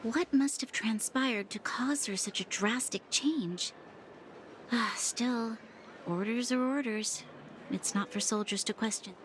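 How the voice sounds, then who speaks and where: A woman's voice: on the high side, takes it slowly, background noise. An actress playing an earnest young woman soldier, torn between duty and doubt, in a video game. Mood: reflective. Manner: earnest, reflective, conflicted. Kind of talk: game voice acting. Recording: music in the background.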